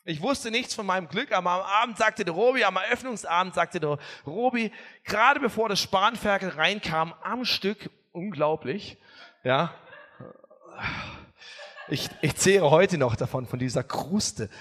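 A man preaching a sermon as pastor, telling stories with humor in a lively way. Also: sits high, unhurried. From not from Bavaria or Austria. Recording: echoey hall, faint music.

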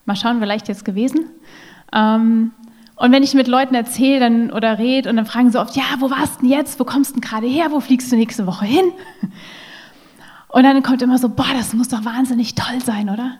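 A woman's voice: fairly high pitch, fairly even intonation, moderate pace, darkish tone, in a large hall. A woman speaking casually and personally to an audience at a church service. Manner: chatty and personal.

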